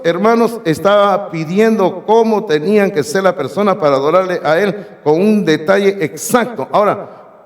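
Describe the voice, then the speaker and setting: Hoarse male pastor, emphatic sermon delivery to the congregation, reverberant church hall. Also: high voice. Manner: emphatic, addresses the congregation directly. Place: church sanctuary.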